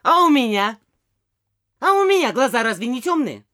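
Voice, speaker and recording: An actress playing a fussy, vain, curious mayor's wife and mother in middle age, with a husky voice and eager, dramatic delivery in a classic comedy audio play. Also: a little high, big pitch swings, normal speed, fairly bright tone, dry recording, clean studio sound. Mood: vain, indignant.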